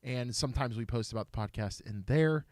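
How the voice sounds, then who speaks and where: A man in his thirties co-hosting a casual chat show, his voice sitting at an ordinary middle pitch. He talks loosely and playfully and laughs a lot. The sound is close and dry. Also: a little fast, darkish tone, no echo. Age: 30s. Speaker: podcast co-host.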